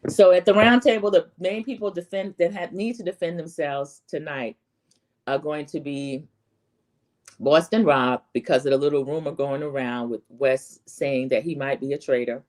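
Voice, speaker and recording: Low-pitched, slightly scratchy woman recapping TV. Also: fairly even intonation, moderate pace, darkish tone. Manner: opinionated, rambling storytelling with acted-out quotes. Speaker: host of a reality TV recap channel. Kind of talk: casual chatty recap commentary.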